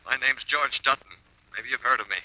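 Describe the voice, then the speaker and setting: An actor playing a frantic, shaken mystery writer in a hospital ward, in a 1950s radio drama. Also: male voice, medium pitch, brisk, sharp tone, no echo, low-quality recording. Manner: agitated, pleading, shaky. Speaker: mystery author. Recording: old radio broadcast.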